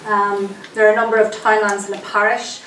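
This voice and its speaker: A woman's voice: mid-range voice, level tone, fairly quick, fairly bright tone, a little hiss or hum. A woman giving a lecture to an audience, sounding nasal from a cold, chatty and friendly with plenty of ums. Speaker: archivist giving a genealogy lecture. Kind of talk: public lecture. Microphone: distant room mic. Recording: echoey lecture room recorded from a distance.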